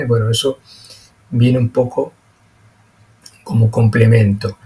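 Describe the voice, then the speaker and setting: A man presenting an explanatory lecture over slides, speaking haltingly with frequent pauses and repeated words. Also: mid-range voice, darkish tone, a little hiss or hum, low-quality recording.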